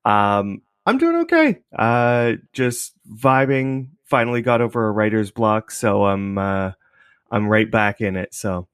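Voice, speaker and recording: A man's voice: lowish voice, sing-song, unhurried, clear, resonant tone, no room sound, clean studio sound. A man from Canada chatting casually as an interview guest, laid-back and reflective. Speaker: podcast creator, interview guest.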